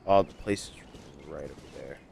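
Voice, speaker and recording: A man's voice: extremely low pitch, normal speed, vocal fry, background noise. A man doing casual gameplay commentary, muttering and humming filler to himself as he streams. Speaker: game streamer.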